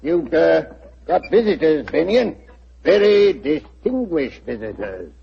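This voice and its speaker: An actor playing a blustering, self-assured police inspector in a 1940s radio drama. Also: male voice, on the high side, very animated, slow pace, vocal fry, slightly rough, exaggerated voice. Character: blustering police inspector, middle-aged man. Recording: muffled old radio recording.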